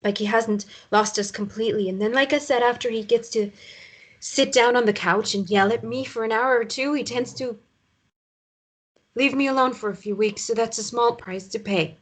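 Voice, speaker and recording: Woman playing a high-voiced, hesitant, weary mother. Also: lightly acted. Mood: resigned explaining. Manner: hesitant, halting, appeasing. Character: weary mother downplaying her ex's abuse, evasive. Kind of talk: naturalistic drama table read.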